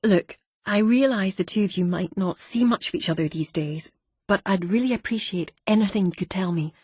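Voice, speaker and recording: A woman's voice: mid-range voice, brisk, darkish tone, breathy edge, no echo, studio quality, close to an everyday voice. A woman voicing a determined young woman searching for her father, with an earnest, pleading manner.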